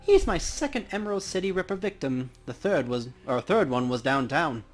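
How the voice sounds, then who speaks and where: A man's voice: on the high side, very animated, normal speed. Guy doing a cheery morbid dwarf coroner, kinda wry, voice goes all over, game music behind. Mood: intrigued, wry. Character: dwarf coroner, lopsided grin, kindly, ghoulishly cheerful male. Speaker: voicing characters in a game playthrough. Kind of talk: character reading in a let's play.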